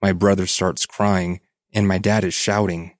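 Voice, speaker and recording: A man's voice: deep voice, little pitch movement, measured pace, no echo. A man reading a story aloud as a podcast host, in scripted narration.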